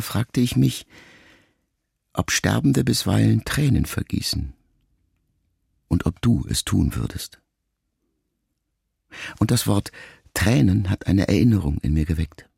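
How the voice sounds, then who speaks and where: A man acting in a literary radio play as a grown, middle-aged son who quietly and introspectively addresses his mother in measured phrases. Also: low-pitched, gravelly, breathy edge, no room sound, clean studio sound, exaggerated voice. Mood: pensive, tender. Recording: music behind the voice. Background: music.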